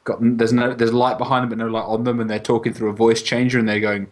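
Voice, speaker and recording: A man's voice: mid-range voice, level tone, quick pace. A man rambling casually and swearing as he banters with friends as a podcast host.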